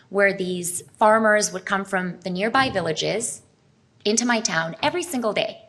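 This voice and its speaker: A woman's voice: mid-range voice, fairly bright tone. Woman giving an earnest talk. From Serbia. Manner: earnest, explanatory, asks the audience questions. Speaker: speaker at a health expo. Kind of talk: presentation talk to a live audience.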